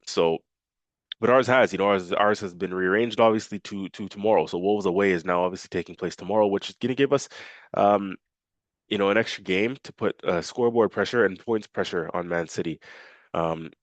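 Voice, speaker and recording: Deep-voiced, congested male fan host. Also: dry recording. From Canada. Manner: casual, filler-heavy, repeats himself. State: congested, nasal from a cold. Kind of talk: football match preview talk.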